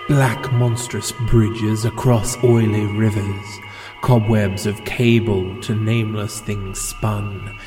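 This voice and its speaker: Man, deep-leaning voice, unhurried solemn poetry recitation, music clearly behind. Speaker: poetry reader. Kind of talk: slow dramatic poetry recitation. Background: background music.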